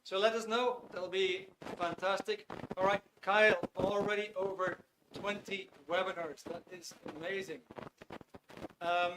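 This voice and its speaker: Dutch man, fifties, high voice, upbeat webinar host, faint room noise. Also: steady tone, a little slow. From Netherlands. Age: 50s. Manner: upbeat, greets viewers by name. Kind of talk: live webinar hosting.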